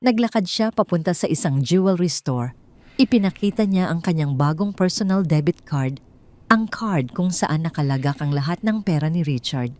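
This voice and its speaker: Low-pitched Filipino woman narrating drama. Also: lively intonation, normal speed, dark tone. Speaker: story narrator. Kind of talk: scripted dramatic audio story narration.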